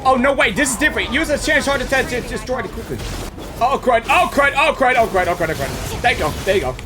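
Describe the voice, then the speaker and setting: An excitable streamer giving casual live reactions to a game, often repeating phrases. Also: medium pitch, fast talker, noisy background. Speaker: gaming streamer.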